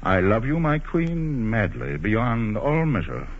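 A proud, ardent soldier-nobleman with a husky voice. His delivery is declamatory and very lively in pitch, in the manner of forties radio theatre. The old broadcast sounds muffled. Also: slow pace, heavily acted. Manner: declamatory, impassioned. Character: proud, ardent, ambitious young nobleman and soldier, hot-tempered. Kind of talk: 1940s radio theatre acting.

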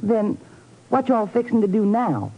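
An actress playing an indignant, sassy Southern belle in a 1950s radio drama, with a drawling, folksy Southern accent. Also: female voice, mid-range voice, very animated, a little slow, slightly rough, exaggerated voice. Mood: bitter. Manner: drawling, folksy, honeyed but accusing. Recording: muffled 1950s radio recording.

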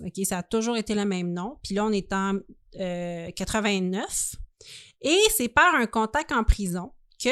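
A woman's voice: medium pitch, a bit bright, no echo, studio quality. A woman with a Quebec French accent co-hosting a podcast, telling a story from notes in an informal, conversational way. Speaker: podcast co-host.

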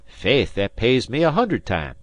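A jolly, hearty deckhand, voiced by a man, his pitch raised above the narrator's usual. The voice comes across dry and close. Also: big pitch swings, a little slow, no echo.

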